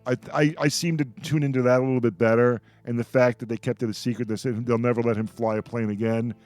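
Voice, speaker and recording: A man's voice: mid-range voice, level tone, fast talker, a little mellow, soft background music. A man chatting casually as a panelist in a discussion about television. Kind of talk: casual chat.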